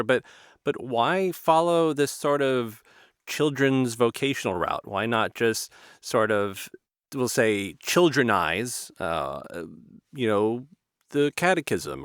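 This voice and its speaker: A man's voice: medium pitch, a bit bright, clear, resonant tone, no room sound, clean studio sound. An American man hosting a conversational interview, hesitant and rephrasing as he goes. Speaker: interview host.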